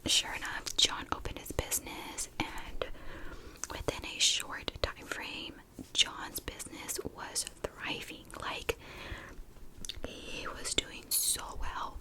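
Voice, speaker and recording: A woman telling a true crime story in a soft, breathy ASMR whisper, casually and gently, in short halting phrases. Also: low-quality recording.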